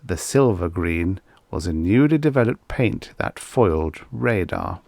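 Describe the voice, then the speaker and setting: A man reading a short story as an audiobook narrator, in a measured, expressive storytelling manner. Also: low voice, gravelly, no echo.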